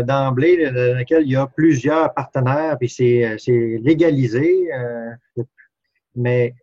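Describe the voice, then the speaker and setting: A man's voice: mid-range voice, darkish tone, dry recording, highs cut off. A man with a Québécois French accent, a psychologist hosting an interview, asking casual questions with many fillers. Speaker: psychologist host.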